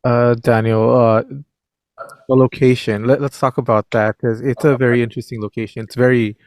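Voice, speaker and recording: A man interviewing film guests, asking long questions haltingly with repeated words. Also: mid-range voice, flat delivery, darkish tone, no echo, clean studio sound.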